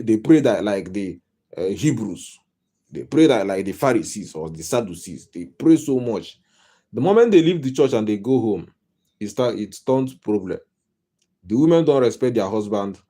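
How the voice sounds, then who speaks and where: Mellow-voiced Nigerian man rambling on. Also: mid-range voice. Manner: rambling and repetitive, with wry humor. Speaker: life coach streaming live. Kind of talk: casual live-stream monologue. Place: home.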